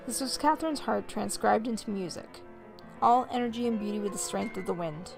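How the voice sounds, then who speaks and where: A woman hosting a let's play, reading visual novel text aloud in a measured way with casual asides. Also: mid-range voice, gravelly, rough recording. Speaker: host of a gaming video series.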